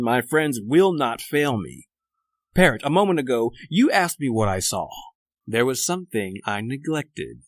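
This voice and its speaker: A close, dry male voice of middle pitch, a man acting a cold and calculating doctor turned killer. He speaks formally and deliberately, in commanding old-time radio horror style. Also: no echo. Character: cold, calculating educated murderer doctor, leader of escaped convicts.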